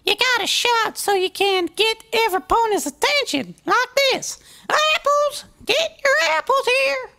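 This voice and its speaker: Shrill shouting filly pony. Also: female voice, animated, moderate pace, hardly any pauses, exaggerated voice. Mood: bossy. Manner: shrill, shouting, country twang. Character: loud young filly pony selling apples, brash.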